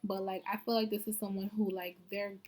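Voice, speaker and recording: A woman's voice: medium pitch, flat delivery, fairly quick, a little mellow. A woman giving a casual pick-a-card tarot reading, chatty and loose, leaning constantly on "like" and "I feel like". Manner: chatty, heavy use of "like" and "I feel like".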